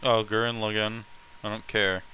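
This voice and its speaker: A man's voice: lowish voice, flat delivery, normal speed, pushed and bright, slight background noise, low-quality recording. A man chatting casually on a livestream, ranting bluntly and swearing freely as an opinionated host. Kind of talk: casual rambling livestream chat with friends.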